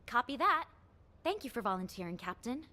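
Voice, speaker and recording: A lively caring HQ officer. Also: female voice, a little high, a little fast. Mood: concerned.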